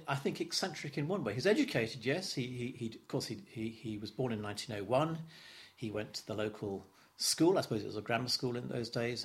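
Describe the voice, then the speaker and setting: Older man telling his life story. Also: on the low side. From London, England. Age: 70s. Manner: reflective, stop-start with repeated words and frequent chuckles. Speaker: interviewee. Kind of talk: oral history interview.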